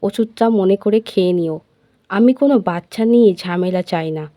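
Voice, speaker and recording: A woman's voice: medium pitch, moderate pace, warm tone, no room sound, natural voice. A woman voicing a domineering male office boss, curt and commanding, in an audio love story. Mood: cold. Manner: commanding, blunt.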